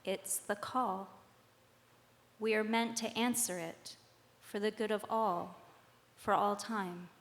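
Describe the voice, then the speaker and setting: A woman reads a scripted spoken-word piece on stage, with deliberate, rhetorical phrasing and short dramatic lines. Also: medium pitch, steady tone. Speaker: reader at a live tribute performance.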